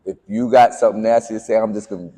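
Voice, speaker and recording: A man's voice: on the low side, fairly even intonation, racing, warm tone, long reverb tail. A man co-hosting a podcast and coaching fitness, telling a story in a laid-back, joking way with playful asides. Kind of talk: casual storytelling chat.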